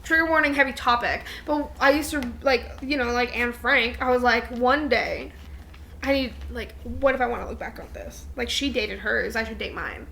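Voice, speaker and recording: A woman's voice: a little high, normal speed, gravelly, sharp tone, slight background noise. A young woman co-hosting a podcast, talking in a casual, playful and teasing way with a friend. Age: young adult. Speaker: podcast co-host. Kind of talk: casual chat between friends.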